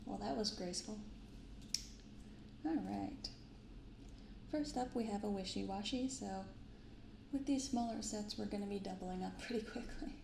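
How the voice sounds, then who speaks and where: A woman speaking softly in an ASMR style, giving gentle, unhurried casual commentary as host of a card-opening video. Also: mid-range voice, vocal fry, roomy sound, background noise. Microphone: binaural microphone.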